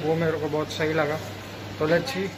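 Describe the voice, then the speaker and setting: A man's voice: fairly high pitch, completely flat delivery, moderate pace, rough recording. A young man chatting casually to his phone camera as he walks through a shopping mall. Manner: chatty, upbeat, talking to camera while walking. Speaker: vlogger. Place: indoor shopping mall and clothing store. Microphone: handheld phone at arm's length. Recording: phone vlog with music playing behind. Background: background music.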